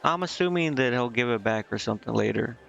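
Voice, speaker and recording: A man's voice: mid-range voice, animated, fast talker, vocal fry, lo-fi sound, noisy background. A man giving casual, off-the-cuff gameplay commentary as a streamer, slangy and irreverent. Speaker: video game streamer.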